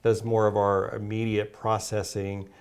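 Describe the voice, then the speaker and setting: A man's voice: fairly low pitch, little pitch movement, measured pace, clean tone. A man speaking as a naturopath guest, explaining at length with many fillers and restarts. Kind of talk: casual health talk.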